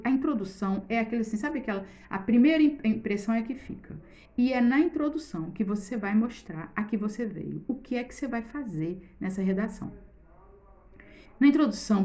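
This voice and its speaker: A woman's voice: on the high side, warm tone. A middle-aged woman from Brazil teaching an online essay-writing class in a casual, encouraging way, talking straight to her students. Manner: chatty, encouraging, addresses students directly.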